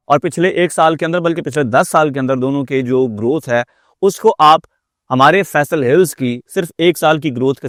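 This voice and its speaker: A man's voice: medium pitch, dry recording, clean studio sound. A man with a Pakistani accent giving a persuasive market update as a real estate marketer, explaining emphatically and dropping in English phrases.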